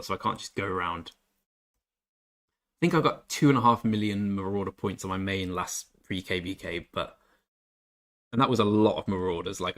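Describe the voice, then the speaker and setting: A man's voice: low voice, a bit bright. A young English man chatting casually on a game stream, reading chat and joking about himself. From England. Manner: chatty, self-deprecating, reads chat aloud.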